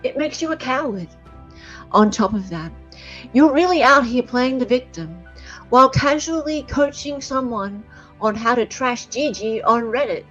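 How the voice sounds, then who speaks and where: A woman's voice: a little high, measured pace, a bit bright. An Australian woman hosting a casual livestream, doing dramatic readings and commentary in a sarcastic, bantering way. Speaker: livestream host. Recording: dull lo-fi audio. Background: music.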